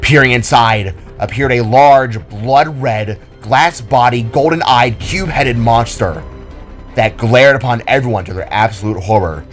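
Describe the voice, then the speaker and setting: A man's voice: mid-range voice, a little slow. A man giving dramatic scripted narration as the storyteller of an audio drama. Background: background music.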